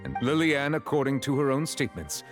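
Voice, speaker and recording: An actor playing a formal, authoritative chief justice in a video game, speaking precisely and courteously. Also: male voice, mid-range voice, quick pace, soft background music, lightly acted. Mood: solemn, explanatory.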